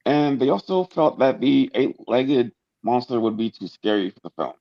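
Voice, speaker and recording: A man's voice: mid-range voice, expressive, moderate pace, muffled recording. A man in his thirties co-hosting a pop culture podcast, talking casually and restarting his sentences. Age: 30s. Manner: stammering restarts, earnest. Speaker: podcast co-host. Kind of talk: casual chat.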